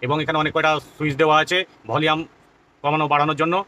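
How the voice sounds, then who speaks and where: A man's voice: fairly high pitch, completely flat delivery, rapid-fire, sharp tone. A man gives an informal, explanatory product demonstration as a shopkeeper, often repeating himself. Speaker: shopkeeper presenting products on own channel.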